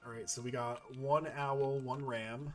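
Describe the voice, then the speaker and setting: A man's voice: medium pitch, fairly even intonation, measured pace, soft background music. A man giving casual let's-play commentary with wry, joking asides as he plays a video game. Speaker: video game player and host.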